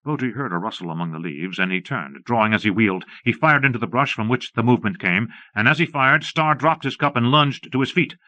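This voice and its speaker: A man's voice: mid-range voice, expressive, brisk, hardly any pauses, sharp tone, no room sound. A man reading scripted western narration for an audiobook, recorded close and dry on a slightly dull cassette.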